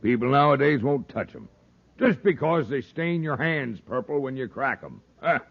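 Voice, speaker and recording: Husky old space captain. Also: male voice, medium pitch, lively intonation, moderate pace. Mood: folksy, amused. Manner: folksy, gruff, chuckling old-timer talk. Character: crusty, independent old space pilot captain. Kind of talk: 1950s radio serial acting. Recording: dull lo-fi vintage radio recording.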